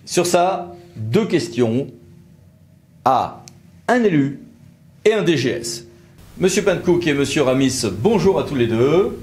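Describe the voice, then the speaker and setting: A man's voice: fairly high pitch, big pitch swings, slow, long pauses, darkish tone. A man presenting a legal news review, explaining points in an emphatic, didactic way with conversational asides. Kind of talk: brisk explanatory commentary with interview questions.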